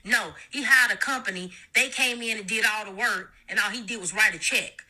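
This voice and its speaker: A woman's voice: mid-range voice, fairly quick, bright, forceful tone. A woman in a social media video, lecturing her audience in a preachy way.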